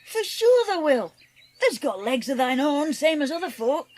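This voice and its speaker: A woman's voice: sits high, big pitch swings, moderate pace, a little mellow. A woman playing a kindly country boy with a Yorkshire accent, reassuring and plain-spoken, in an audiobook drama. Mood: gentle, reassuring. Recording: noticeable background noise.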